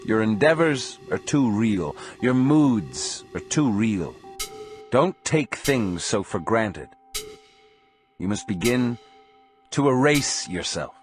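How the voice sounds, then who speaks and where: Man acting a stern sorcerer teacher, audio drama, blunt, commanding, animated pitch, faint music. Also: slow. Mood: solemn, instructive. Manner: commanding, calm, direct. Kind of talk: dramatized audio story reading.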